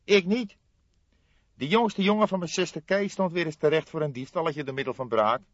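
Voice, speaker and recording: A man voicing a scrawny, excitable café owner from the underworld in an audiobook, talking emphatic street slang. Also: on the high side, moderate pace, no room sound, pitched above the performer's own voice, close to an everyday voice. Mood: indignant. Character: scrawny indignant small-time underworld café owner, male. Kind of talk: audiobook character acting. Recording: slightly dull, dry studio recording.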